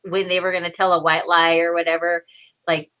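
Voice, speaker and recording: A woman's voice: fairly low pitch, level tone, a little fast, fairly bright tone, clean tone, dull audio. A woman guest chatting casually in an understated, slightly hesitant way. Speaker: show guest, voice actor. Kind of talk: casual chat.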